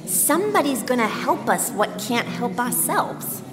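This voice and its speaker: A feisty street apple seller, acted by a woman, speaks high with big swings of pitch in folksy, emphatic phrases. It is a live radio play in an echoey hall with music behind. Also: very animated, measured pace. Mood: rallying, determined. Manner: folksy, emphatic, ungrammatical speechmaking. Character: feisty old street apple seller, plain-spoken woman rallying beggars. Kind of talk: live stage radio drama acting. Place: church hall stage.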